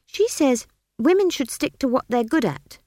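Woman as a stubborn young girl, pitched up. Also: animated, normal speed, dark tone, no echo, clean studio sound. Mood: stubborn, proud. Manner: blunt, pointed, challenging. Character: stubborn, sharp-witted young country girl, defiant.

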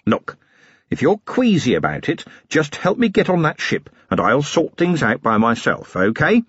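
A man voicing a gruff, weary middle-aged police commander who speaks bluntly and doggedly. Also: fairly high pitch, huge pitch swings, normal speed, a bit bright, no room sound, dull audio, pitched above the performer's own voice, exaggerated voice. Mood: determined.

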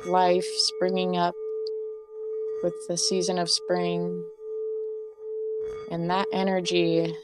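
A woman leading a guided meditation, speaking in gentle instructions with pauses between short phrases. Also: on the low side. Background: Tibetan singing bowl music.

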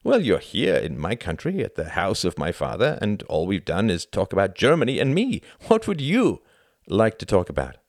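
A man's voice: fairly low pitch, huge pitch swings, normal speed, dry recording, clean studio sound, pitched above the performer's own voice. A man voicing an earnest, brooding young German man with a wistful, heartfelt manner. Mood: probing.

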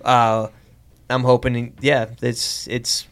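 Male podcast host, medium pitch, loose and rambling, comedic casual chat with repeated phrases. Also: little pitch movement, slow, a bit bright, clear, resonant tone.

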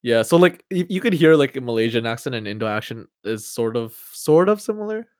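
A man's voice: medium pitch, animated, fairly quick, a little mellow, dry recording, studio quality. A young man in his twenties co-hosting a podcast, chatting loosely with lots of slang and fillers. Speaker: podcast co-host. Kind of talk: casual chat.

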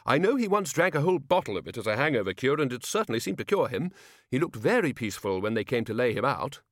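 A man voicing a loud, pompous older head wizard who blusters in a high, exclaiming voice, in a storybook reading. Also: sing-song, quick pace, dry recording, clean studio sound, natural voice. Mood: blustering.

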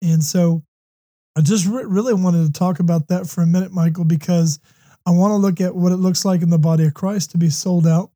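A hoarse, slightly congested man from the United States hosting a faith talk show, speaking earnestly with many ums and restarts. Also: high-pitched, steady tone, a little fast, warm tone. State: hoarse with a runny nose. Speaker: podcast host.

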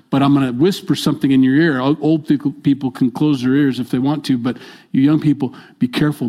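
An older man preaching a midweek sermon in a church, stressing his points by repeating them and moving between loud and soft delivery. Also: mid-range voice. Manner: emphatic, repeats key phrases, swings between loud and soft. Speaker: pastor.

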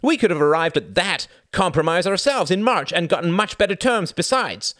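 A man reading aloud, voicing a heated, desperate middle-aged male president in a loud, indignant raised voice. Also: high voice, very animated, moderate pace, dry recording, clean studio sound, voice pushed up.

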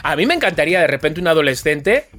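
A man's voice: on the high side, big pitch swings, fast talker, fairly bright tone, faint music behind. A man with an accent from Spain co-hosting a podcast with playful, casual comic banter, often repeating words for emphasis. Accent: Spanish, from Spain. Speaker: podcast co-host.

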